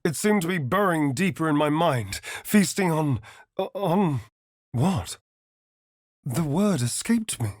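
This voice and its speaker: An actor playing a sardonic, foppish vampire spawn rogue in a video game, with an arch, theatrical, disdainful drawl. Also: male voice, fairly high pitch, lively intonation, slow, pauses often, a little mellow, dry recording, studio quality. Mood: brooding, dramatic. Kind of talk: video game voice acting, companion dialogue and narration.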